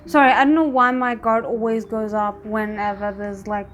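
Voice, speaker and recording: A woman giving a casual live reaction to a drama series, thinking aloud in an informal, expressive way. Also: fairly high pitch, moderate pace, a little hiss or hum. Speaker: reaction video host.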